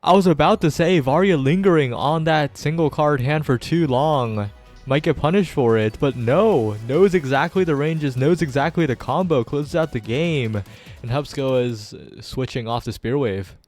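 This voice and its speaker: A man's voice: fairly high pitch, normal speed, darkish tone, faint music behind. A man giving live play-by-play esports commentary, following the action in long running sentences.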